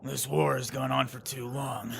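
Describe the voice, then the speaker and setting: A man playing a defiant, commanding young general in an animated action story, with a gravelly voice and some noise on the microphone. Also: medium pitch, normal speed. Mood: determined. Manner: dramatic, stubborn, commanding. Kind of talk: amateur animated action voice acting.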